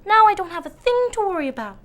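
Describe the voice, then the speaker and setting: A woman voicing a small, foolish male pig in a storybook reading, high and shrill. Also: sing-song, fast talker. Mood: squeaky.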